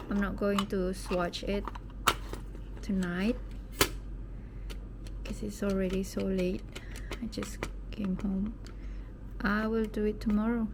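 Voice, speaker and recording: A woman's voice: medium pitch, noisy background. A young woman from Indonesia with an Indonesian accent tinged with British English, chatting casually at home at night as she unboxes something, rambling and sometimes dropping to a whisper. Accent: Indonesian, with some British English. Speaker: vlogger.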